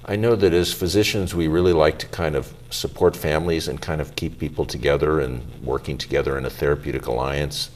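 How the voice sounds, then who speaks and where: A middle-aged man, a physician, hosting a health show, presenting in a measured, formal way and interviewing a guest. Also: rumbling low, fairly even intonation.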